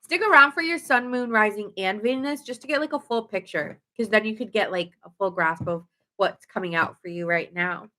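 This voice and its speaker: A woman's voice: mid-range voice, normal speed, hardly any pauses, bright, forceful tone. A woman doing a casual live tarot reading, chatty and rambling with fillers and self-corrections, her nose stuffy. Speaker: tarot reader.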